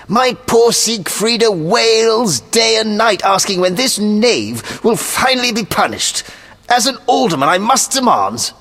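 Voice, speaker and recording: A man's voice: sits high, expressive, measured pace, a bit bright, long reverb tail, soft background music, exaggerated voice. A man playing a pompous, aggrieved older town alderman in a video game, whiny and officious. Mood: outraged, whining.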